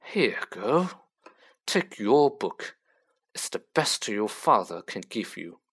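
A man voicing a cold, sneering aristocratic wizard who drawls his words slowly. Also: a little high, sing-song, fairly bright tone, voice pushed up, full character voice.

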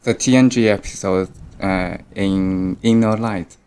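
A man in casual conversation, explaining something in a measured, matter-of-fact way. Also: sits low, steady tone, a little mellow, smooth.

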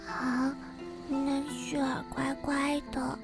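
A woman playing a sweet, clinging little girl in a Chinese period audio drama, with childish, halting phrases. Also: sits high, completely flat delivery, gravelly, heavily acted. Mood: pleading. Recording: background music under speech.

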